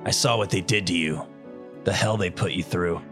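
A man voicing a brash male rescuer who is rough but caring, speaking bluntly and joking around. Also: low voice, normal speed, over music, close to an everyday voice. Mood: reassuring.

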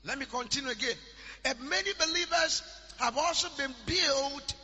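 A man preaching a sermon in a chanting, sing-song style that rises into shouts. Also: extremely high pitch, normal speed. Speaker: pastor. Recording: church hall reverb with music behind.

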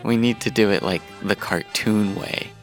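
A low-pitched man tells personal stories in a casual, conversational way. His delivery swings freely and has a creaky edge. Soft music runs underneath. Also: normal speed. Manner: conversational, chuckling as he recounts childhood memories.

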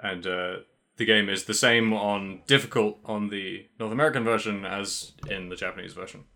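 A man's voice: on the low side, fairly even intonation, pushed and bright. A man co-hosting a podcast, chatting casually and often restarting his sentences. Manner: halting, many restarts and fillers. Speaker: podcast co-host. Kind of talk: casual chat.

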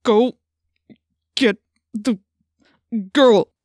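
A woman's voice: fairly low pitch, normal speed, no room sound, studio quality, close to an everyday voice. A woman voicing a cruel alien slug that controls a male assistant principal, threatening and commanding through gritted teeth in an audiobook story. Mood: strained, struggling.

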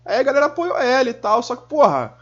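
A man chatting casually on a podcast, slangy and swearing, with loud laughs. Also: very high voice, big pitch swings, fast, highs cut off. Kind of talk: casual chat.

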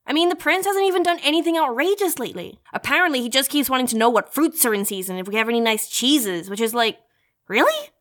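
A self-important young female superhero with a high voice, hosting her own show in an audio drama. She talks in melodramatic, mock-epic flourishes and drops into slangy, brash chatter. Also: expressive, quick pace, fairly bright tone. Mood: whiny, impatient. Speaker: host of an in-story news show.

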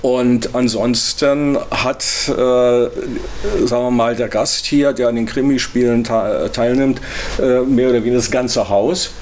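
A man working in a hotel gives unscripted interview answers in a hesitant, halting way. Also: medium pitch, steady tone, a little mellow, a little hiss or hum.

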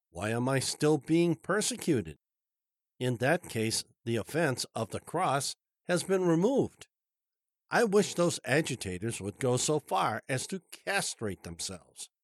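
Slow, congested male pastor. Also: mid-range voice, dry recording, studio quality. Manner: formal, deliberate delivery. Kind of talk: Bible study teaching.

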